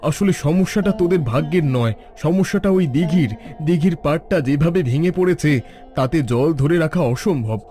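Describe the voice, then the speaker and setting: Man doing a kind village teacher, slightly high voice, fatherly pep talk. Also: lively intonation, normal speed, mellow, faint music behind, natural voice. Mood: encouraging. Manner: fatherly and encouraging. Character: wise encouraging male village schoolteacher. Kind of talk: cartoon voice acting.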